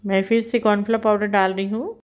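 Female cooking-channel host, calm and instructive, talks through every step as she cooks. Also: mid-range voice, fast talker. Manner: calm, instructive, narrates each action as she does it.

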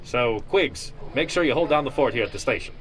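A man playing a bossy police superior giving hurried orders in an improvised old-time radio play. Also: medium pitch, animated, natural voice. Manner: hurried, commanding.

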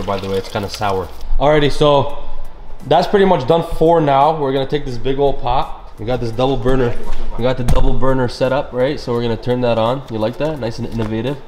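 A man's voice: medium pitch, background noise. A young man chatting through a cooking session in a big home kitchen, upbeat and gushing about the food. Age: young adult. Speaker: cooking vlogger. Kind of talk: casual cooking commentary.

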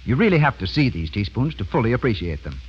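A man's voice: mid-range voice, sing-song, quick pace, husky. An American man announcing radio advertising in a formal, emphatic 1930s style, enunciating carefully. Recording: muffled 1930s radio broadcast recording.

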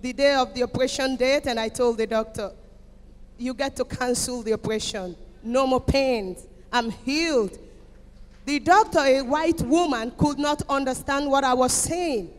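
A woman's voice: high voice, normal speed. A middle-aged woman from Equatorial Guinea giving an emotional testimony to a congregation, declaiming emphatically and repeating phrases into a handheld mic. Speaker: church member giving testimony. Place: church auditorium. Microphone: handheld microphone. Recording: echoey hall PA with music behind.

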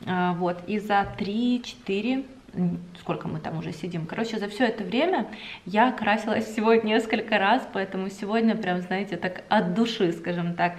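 A young woman chatting to the camera at home, enthusiastic and talkative, with drawn-out hesitations. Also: medium pitch. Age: young adult. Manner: chatty, enthusiastic about cosmetics, drawn-out fillers. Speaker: beauty vlogger. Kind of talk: casual chat to camera. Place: home room indoors.

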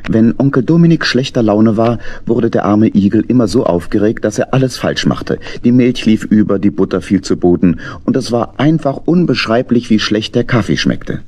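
A man narrating a children's story as an expressive scripted storyteller. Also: on the low side, moderate pace, hardly any pauses.

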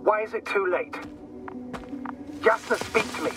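A worried mission commander gives urgent guidance over a radio in a sci-fi game. His voice is fairly high and sounds distant, with music beneath it. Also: a little slow. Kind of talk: dramatic game voice acting.

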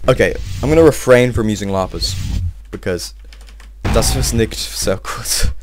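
A young man giving casual, excitable let's-play commentary, swearing and talking to himself, his voice a little strained. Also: lowish voice, a little slow, a little hiss or hum. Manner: excitable, swears often, talks to himself while playing. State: slightly strained voice. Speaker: gameplay video host.

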